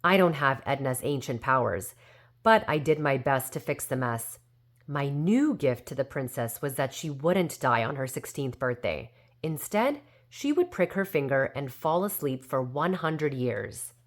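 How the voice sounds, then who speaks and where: A woman's voice: a bass voice, expressive, a little slow. A woman voicing a prim, know-it-all younger sister fairy, telling a storybook tale in a smug, critical, gossipy way. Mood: self-important. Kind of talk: storybook character narration.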